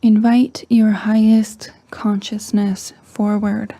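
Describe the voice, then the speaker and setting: Woman, meditation guide, soft breathy delivery, even and steady pitch, dry close sound, calm, gentle. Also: no echo. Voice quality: soft, breathy, often near a whisper. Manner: calm, gentle, unhurried with long pauses. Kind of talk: slow guided meditation narration.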